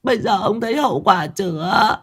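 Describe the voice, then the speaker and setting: A man voicing a frantic old grandmother, high and wailing as she scolds through tears. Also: lively intonation, normal speed, a bit bright, husky, exaggerated voice. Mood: fretful scolding. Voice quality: shrill wailing.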